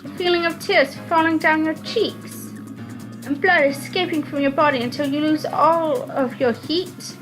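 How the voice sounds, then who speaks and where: Woman acting a high-voiced, resolute magical heroine. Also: steady tone, a little slow, low-quality recording. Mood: accusing. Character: Ashley, resolute young female magical fighter. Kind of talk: visual novel voice-over. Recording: music under the voice.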